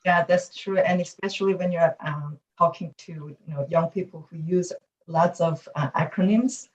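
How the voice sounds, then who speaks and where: A woman's voice: fairly low pitch, normal speed, recorded from a distance. Female professor, easy laughter, echoey room over a dull call line. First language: Korean. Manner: warm, laughs often. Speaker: professor, guest. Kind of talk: casual chat on a video call.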